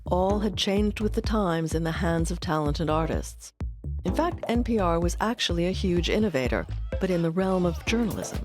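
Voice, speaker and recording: Lower-pitched woman narrating a documentary. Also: lively intonation, normal speed, over music.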